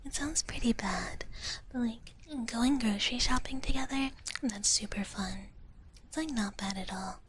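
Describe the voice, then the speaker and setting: Woman, soft breathy whisper, higher pitch, cutesy sweet dragon big sister roleplay, live ASMR chat, close mic, noticeable background noise. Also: a little slow. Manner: cutesy, speaks of herself in the third person. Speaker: ASMR livestream host.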